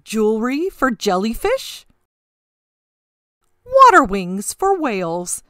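Woman as polite storybook seahorse shopkeeper, showy patter, bright, very animated pitch. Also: slow pace, no room sound, studio quality, heavily acted. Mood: boastful, eager. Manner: showy, courteous sales patter. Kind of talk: rhyming picture-book read-aloud.

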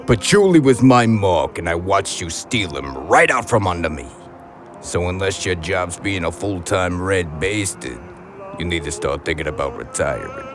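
Man playing a very deep-voiced cocky mobster. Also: lively intonation, moderate pace, over music. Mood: defiant. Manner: brash, foul-mouthed wiseguy. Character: cocky, ambitious young male mob associate. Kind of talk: comedic crime audio drama acting.

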